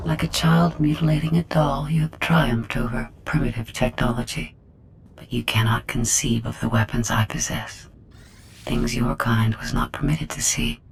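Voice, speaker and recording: An actor voicing a cold, contemptuous artificial intelligence in a video game, speaking in a haughty, precise and formal manner with quiet menace. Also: mid-range voice, fairly even intonation, moderate pace, a little hiss or hum, close to an everyday voice.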